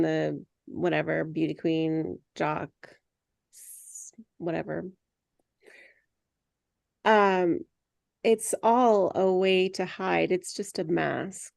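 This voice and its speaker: A woman's voice: on the low side, fairly even intonation, very slow, vocal fry, a little mellow, no room sound, clean studio sound. A woman sharing her thoughts casually and reflectively in a group discussion.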